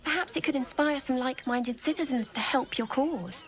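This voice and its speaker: A woman playing a composed, probing journalist in a fantasy video game, putting pointed questions in a measured manner. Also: sits high, lightly acted. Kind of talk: video game dialogue acting.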